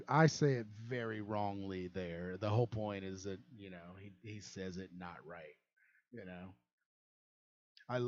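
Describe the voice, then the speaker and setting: A man's voice: fairly low pitch, a little mellow, muffled recording. A young man chatting casually on a livestream about modding a game, rambling and chuckling at himself. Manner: rambling, chuckling, self-deprecating. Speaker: game modder and streamer.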